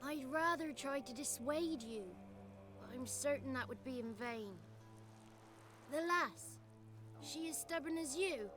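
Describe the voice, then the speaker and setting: High, cheeky little godling boy. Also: unhurried, long pauses, music in the background. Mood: wry. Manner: folksy, chatty, wry. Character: small childlike male godling, cheeky, chatty, folksy. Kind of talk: video game character dialogue.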